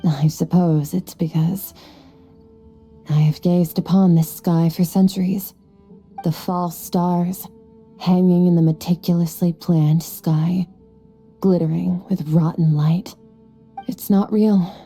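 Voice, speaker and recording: Aloof ageless warrior, very mellow dark tone, husky, solemn, measured, video game cutscene. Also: female voice, on the low side, steady tone, exaggerated voice. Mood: solemn, reflective. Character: aloof, solemn, centuries-old swordswoman who looks youthful. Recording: soft background music behind the voice.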